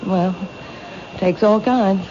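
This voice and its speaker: A woman's voice: mid-range voice, takes it slowly, dark tone, lightly acted. Actress as a reserved bookshop woman, dull recording. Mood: reserved, warming. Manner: courteous, restrained. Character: polite, reserved female bookshop owner. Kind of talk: 1970s radio drama acting. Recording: dull old radio recording, light noise.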